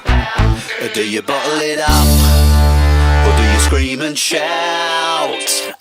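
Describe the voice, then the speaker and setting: A woman's voice: deep voice, slow. A woman playing a playful, dithering character in a musical patter song. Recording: voice under loud funk music.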